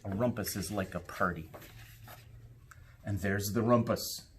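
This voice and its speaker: A man's voice: on the low side, slight background noise. A man, a school principal, talking to children and reading a storybook aloud expressively. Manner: expressive, deliberate storytelling with pauses.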